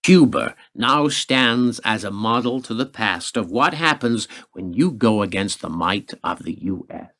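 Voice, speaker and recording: Man acting smug American official, widely swooping pitch. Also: lively intonation, a little slow, hardly any pauses, fairly bright tone. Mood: threatening. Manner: sardonic, condescending, theatrical. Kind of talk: radio drama acting.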